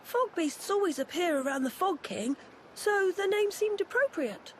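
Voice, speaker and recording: A woman's voice: very high voice, lively intonation, moderate pace, a little hiss or hum. A woman voicing an eager, bookish young boy in a video game, chirpy and informal. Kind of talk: video game cutscene acting.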